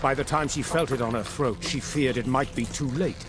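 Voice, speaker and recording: An actor playing a solemn storyteller, narrating an epic fantasy game in a measured, old-fashioned way. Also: male voice, mid-range voice, background noise, natural voice. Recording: prominent music behind the voice.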